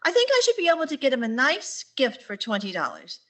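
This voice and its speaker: A woman's voice: high-pitched, huge pitch swings, fast, pushed and bright, heavily acted. A woman playing a wry, put-upon schoolteacher in a radio sitcom, with a dry, wisecracking delivery. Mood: exasperated. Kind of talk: radio sitcom acting and narration.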